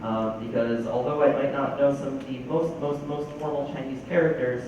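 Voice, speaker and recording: A man's voice: mid-range voice, steady tone, moderate pace. A young man from New Jersey giving a personal talk, telling stories and switching between English and Mandarin. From New Jersey, United States. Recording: very echoey hall, distant mic, noisy.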